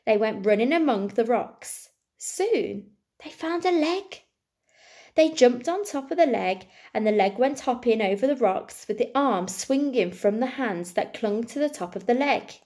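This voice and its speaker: A woman reading a storybook to children expressively, like a primary school teacher, with deliberate dramatic pauses and emphasis. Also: on the high side, expressive, darkish tone.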